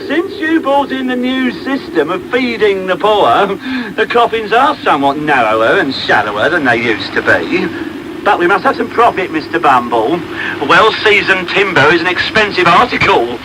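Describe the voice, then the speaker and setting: Chatty, wry village undertaker, high lilting voice, macabre cheer, radio drama off old broadcast tape. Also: male voice, lively intonation, moderate pace, background noise. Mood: genial, wry. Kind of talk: naturalistic radio play acting. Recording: taped medium-wave radio broadcast.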